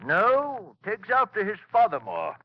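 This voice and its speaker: Half-mad old rustic grandfather, boastful, cryptic dialect, high swooping voice, muffled old radio sound. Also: huge pitch swings, measured pace, exaggerated voice. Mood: sly. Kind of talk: 1940s radio drama acting. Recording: dull old radio broadcast.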